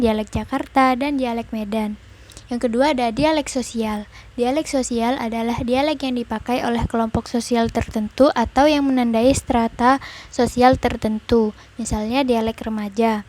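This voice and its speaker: A young woman student reads her part of a class presentation, laying out definitions and examples one by one. Her voice sits a little high, and her delivery stays even and explanatory. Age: young adult.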